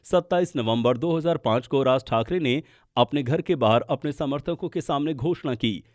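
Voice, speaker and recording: A man's voice: medium pitch, normal speed, darkish tone, no room sound, clean studio sound. A man narrating a scripted political explainer as a news podcast presenter.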